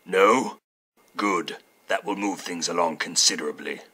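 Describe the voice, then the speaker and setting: A man's voice: sits low, unhurried, sharp tone, breathy edge, exaggerated voice. A man playing a stern, mean old judge in a fan audio drama, curt and dismissive. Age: older.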